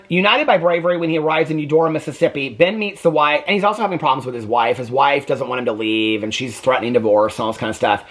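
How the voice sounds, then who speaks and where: A man's voice: fairly high pitch, a bit bright, breathy edge. A man giving a casual, candid book review to camera, opinionated and often circling back over his points.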